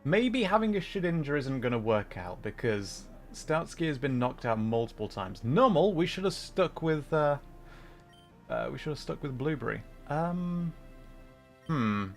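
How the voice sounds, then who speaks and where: A man's voice: a little high, big pitch swings, measured pace, soft background music. A man doing casual livestream chat and game commentary, often breaking off mid-thought to answer viewers. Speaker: livestreamer playing a game and chatting.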